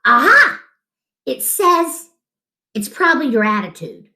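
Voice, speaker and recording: A woman's voice: sits high, big pitch swings, unhurried, bright, forceful tone, pitched above the performer's own voice, heavily acted. A woman voicing a kindly, bookish young male knight who talks in an earnest, instructive way. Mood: encouraging.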